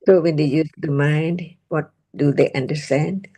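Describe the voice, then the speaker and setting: A woman teaching Buddhist ideas in English with a Thai native tongue, asking slow, probing questions. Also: sits low, steady tone, warm tone, breathy edge. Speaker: Dhamma teacher. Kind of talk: teaching discussion.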